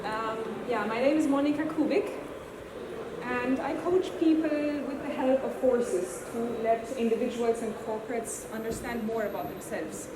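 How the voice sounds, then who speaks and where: A woman with a German accent gives a conference talk as a horse-assisted coach, earnest and measured. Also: fairly high pitch, background noise. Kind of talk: conference presentation. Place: large conference hall. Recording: echoey hall, distant mic.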